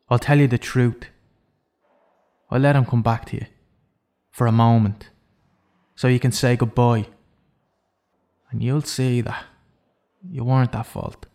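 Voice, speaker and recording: An actor playing an imprisoned killer in an audio drama, self-loathing and intense, speaking with deliberate, persuasive insistence. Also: male voice, medium pitch, fairly even intonation, creaky, darkish tone. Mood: bargaining, insistent.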